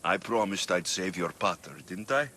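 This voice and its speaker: An actor playing a steady, confident Ancient Greek mercenary hero in a video game cutscene. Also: male voice, low-pitched, normal speed, a little hiss or hum. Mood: matter-of-fact.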